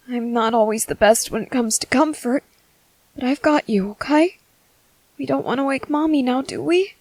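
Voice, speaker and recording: A woman voicing an anxious young father who softly soothes his baby at night in a whispered audio roleplay. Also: on the high side, no room sound, poor audio. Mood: tender, hushed. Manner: soft and hushed, turning frantic and pleading.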